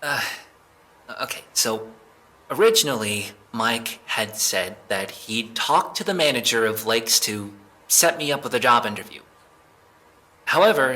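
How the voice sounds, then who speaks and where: Bright-voiced, hesitant young man under questioning acted by a man. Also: medium pitch. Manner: hesitant, halting, defensive. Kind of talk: naturalistic audio drama acting.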